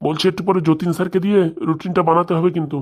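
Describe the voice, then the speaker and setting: A very fast-talking, fairly high-voiced cartoon headmaster, played by a man. He is caring but fretful and a little bossy. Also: fairly even intonation, close to an everyday voice. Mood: instructing. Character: caring, busy married head schoolmaster, mildly bossy. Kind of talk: comedy cartoon dubbing.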